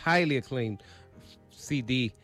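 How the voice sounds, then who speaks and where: A man's voice: medium pitch, slow, fairly bright tone. Guy hosting a radio show, laid back, lots of ums, little music in the back. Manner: casual, rambling with fillers. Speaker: radio host.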